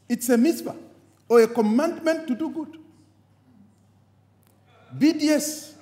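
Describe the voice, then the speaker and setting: Male convention speaker from Zambia, very high and animated delivery, preacher's cadence, reverberant hall. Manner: preaching cadence, rising on key words and dropping low at phrase ends. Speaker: guest speaker at a party convention. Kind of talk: impassioned convention speech.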